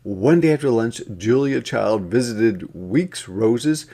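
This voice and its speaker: Slow-paced man reading aloud to children. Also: medium pitch, lively intonation, mellow. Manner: deliberate, sing-song counting and repetition for young children. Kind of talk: interactive read-aloud of a children's counting book.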